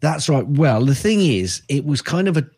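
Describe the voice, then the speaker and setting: A middle-aged man chats casually as a podcast co-host. His voice is a little husky and swings widely in pitch, with a playful habit of doubling up words. The sound is dry, with very little room to it. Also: big pitch swings, moderate pace, a little mellow, no room sound. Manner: playful, repeats words and phrases.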